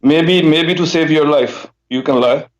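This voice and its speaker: A man's voice: fairly high pitch, a little slow, crowd noise. A man calling in to a live debate show, hesitant and full of restarts. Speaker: caller. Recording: muffled phone-in line.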